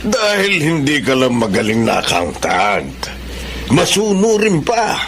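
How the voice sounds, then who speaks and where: An actor playing a jovial, generous, hard-drinking company boss in a Filipino radio drama, with a hearty, conspiratorial manner. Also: male voice, mid-range voice, huge pitch swings, measured pace, slight background noise, heavily acted. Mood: jovial, pleased. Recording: studio radio drama over loud music.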